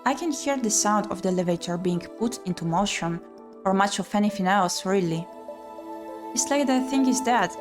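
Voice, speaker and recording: A woman streamer reads a visual novel aloud as dramatic narration. Her voice sits at a medium pitch and is expressive and theatrical, with music playing behind her. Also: moderate pace. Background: game music.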